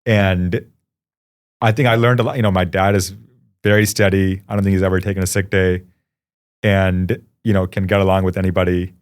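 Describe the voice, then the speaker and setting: A man from India, in his thirties, speaks in a low voice as a podcast guest. He talks in a relaxed, reflective way, filling his pauses with "you know" as he thinks. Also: clear, resonant tone. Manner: thoughtful, reflective, often pausing with "you know". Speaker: guest, startup founder. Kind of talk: casual interview conversation.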